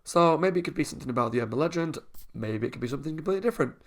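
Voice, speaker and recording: A young man hosting a reaction channel at home, chatting casually with a croaky, sore voice and frequent laughs. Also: a little high, lively intonation, racing. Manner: chatty, talks a lot, laughs often.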